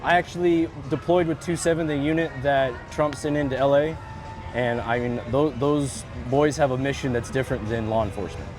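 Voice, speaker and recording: A man's voice: medium pitch, steady tone, fairly quick, music in the background. Earnest man at a street rally. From California. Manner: earnest, plain-spoken, with frequent restarts. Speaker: protester interviewed at a rally. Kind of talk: street interview. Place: outdoors on a city street.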